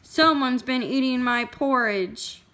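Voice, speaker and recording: A woman's voice: sits high, slow pace, fairly bright tone. A woman voicing a great, huge male Papa Bear from a storybook, in a declarative, accusing manner. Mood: grumbling complaint.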